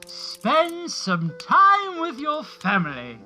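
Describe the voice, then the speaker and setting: A man playing a generous, fussy old gentleman businessman in a comedic radio play, chuckling and hesitant. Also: extremely high pitch, huge pitch swings, slow, soft background music, exaggerated voice. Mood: cheery, doting.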